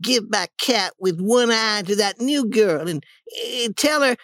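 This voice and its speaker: A narrator voicing a melodramatic young boy who fakes agony with whining, drawn-out pleading in a children's audiobook. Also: mid-range voice, expressive, fairly bright tone, no echo, studio quality, voice pushed up. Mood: mock dying.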